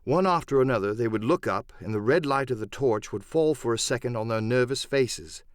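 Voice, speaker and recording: A man's voice: mid-range voice, brisk, no echo, clean studio sound. A man reading dramatic first-person narration for an adventure audiobook.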